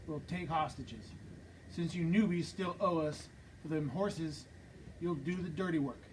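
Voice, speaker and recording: A man's voice: on the high side, unhurried, distant mic, slight background noise, close to an everyday voice, poor audio. A man reading a ruthless outlaw gang leader at a script table read, brash and bossy. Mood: scheming.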